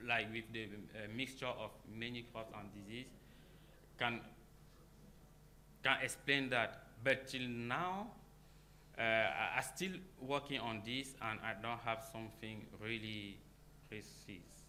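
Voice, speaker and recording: A man answering questions after a conference talk, hesitant and halting in non-native English, recorded with noise. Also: mid-range voice, sharp tone. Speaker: conference speaker. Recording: noisy room with hum.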